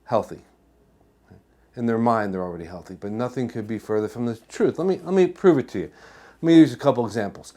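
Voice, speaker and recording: American male health educator, medium pitch, emphatic presenter who repeats points and poses questions. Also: lively intonation, a little fast, mellow. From New Jersey, United States. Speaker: chiropractor giving a health talk.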